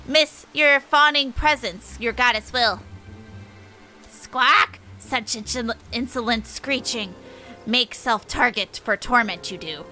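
A woman's voice: a little high, takes it slowly, bright, forceful tone, faint music behind, voice pushed down, low-quality recording. A woman voicing a cruel, bullying bird-man chieftain from a fantasy game, taunting in inverted word order with squawks. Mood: gloating, menacing.

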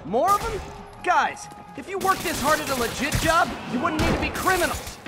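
A man's voice: extremely high pitch, lively intonation, moderate pace. A young American man acting a jokey, upbeat, wisecracking superhero in a video game, with mock-dramatic flair. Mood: quippy. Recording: music under the voice.

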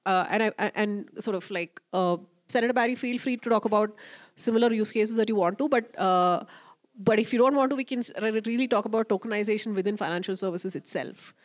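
A woman's voice: mid-range voice, a little fast. A woman in her thirties moderating a conference panel, explaining at length with many fillers, into a handheld mic. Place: conference stage, indoors. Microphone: handheld microphone.

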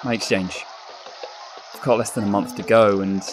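An actor with an English accent playing a shy, earnest young exchange student, hesitant and apologetic, in a radio drama. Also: male voice, on the low side, steady tone, moderate pace, over music, lightly acted. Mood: downcast, hesitant.

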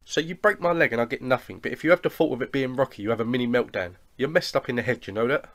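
A man voicing a grumpy, sarcastic young man with a broken leg in an animated cartoon, speaking in a complaining way. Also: medium pitch, fast, close to an everyday voice. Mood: indignant.

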